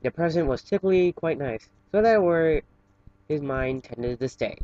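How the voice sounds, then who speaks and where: A man's voice: fairly high pitch, lively intonation, moderate pace, warm tone, no echo. A man reading a story aloud as an amateur, informally, sometimes stumbling over words. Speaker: fan fiction reader for an online channel. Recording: dull, lo-fi home recording.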